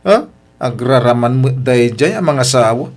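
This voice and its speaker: A man's voice: mid-range voice, expressive, moderate pace, a little mellow, lightly acted. A man with an Ilocano accent acting a brash, scoffing, gossipy husband in a family radio drama, exclaiming mockingly. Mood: scoffing, surprised.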